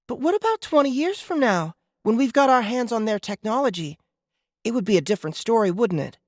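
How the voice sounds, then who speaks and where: A woman's voice: on the high side, expressive, a little fast, darkish tone, dry recording. Earnest eight-year-old girl, dry sound. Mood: earnest, insistent.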